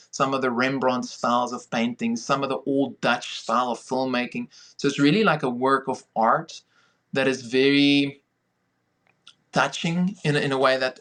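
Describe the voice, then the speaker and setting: A man's voice: medium pitch, a bit bright. A man with a South African accent giving conversational interview answers as a filmmaker guest on a podcast.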